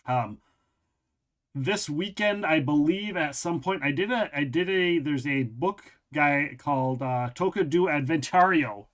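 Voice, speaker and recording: A man's voice: a little high, a little slow, a bit bright. A man hosting a casual live gaming stream, talking chattily and loosely, repeating phrases for emphasis and often restarting his sentences. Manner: loose, rambling delivery with frequent restarts.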